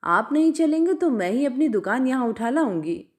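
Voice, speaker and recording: A woman's voice: sits high, very animated, moderate pace, darkish tone. A woman voicing a pushy, flirtatious female betel-leaf seller from a story, chatty, coaxing and insistent. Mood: wheedling, insistent.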